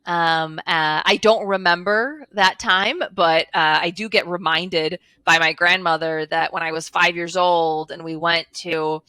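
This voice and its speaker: A woman's voice: fairly low pitch, fairly even intonation, a little slow, hardly any pauses, pushed and bright, clean tone, no echo. A woman, telling her story as a guest over a headset video call, earnest and fluent with frequent fillers. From Chicago, USA. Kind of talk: casual interview storytelling. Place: home office with blinds, indoors.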